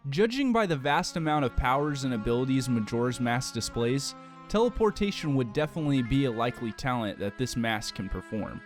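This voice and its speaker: Man narrating a scripted explainer over soft music. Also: mid-range voice, lively intonation. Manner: measured, explanatory, argumentative. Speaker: narrator of a video essay.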